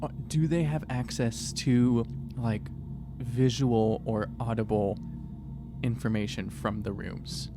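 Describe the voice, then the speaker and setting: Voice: mid-range voice, steady tone, slow pace. Joking tabletop player, noisy recording. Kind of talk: casual, joking table chat.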